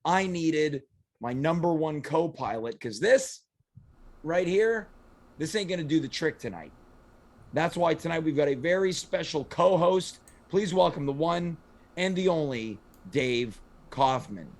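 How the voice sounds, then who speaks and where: A man with a hoarse, worn voice hosting a comedic home show, talking in a theatrical, playfully boastful way. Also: a little high, lively intonation, unhurried. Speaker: host. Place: home studio.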